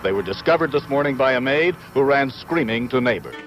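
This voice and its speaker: A man's voice: medium pitch, lively intonation, a little fast, fairly bright tone, soft background music. A man delivering a news report as a reporter.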